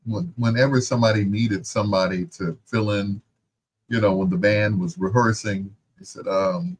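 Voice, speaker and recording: A man's voice: on the low side, fairly even intonation, normal speed, slight background noise. A man hosting a radio music show, chatting casually and telling playful personal anecdotes.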